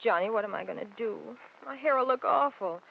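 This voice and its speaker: A woman's voice: on the high side, animated, a little fast, gravelly. A woman acting a fretful, vain young sweetheart in a 1940s radio drama, pouting and complaining. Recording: muffled, noisy old radio recording.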